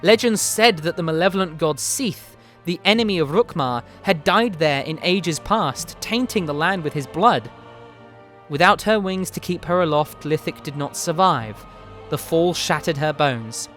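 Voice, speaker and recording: Male narrator for a dramatic fantasy reading, slightly high voice, deliberate delivery, music underneath. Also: lively intonation, fairly bright tone. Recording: music behind the voice. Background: background music.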